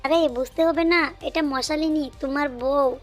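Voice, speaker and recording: A woman's voice: high voice, steady tone, measured pace, soft background music. A woman playing a sly, scheming animal wife in a cartoon, cunning and insistent. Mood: smug, boastful. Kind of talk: cartoon dialogue.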